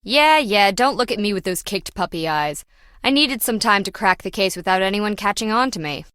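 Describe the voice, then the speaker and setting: Brash female treasure hunter for a video game, played by an actress: bright forceful voice, sardonic and flippant, very dry close sound. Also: medium pitch, expressive, moderate pace, clean tone, dry recording, close to an everyday voice. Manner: wry, breezy, slangy teasing.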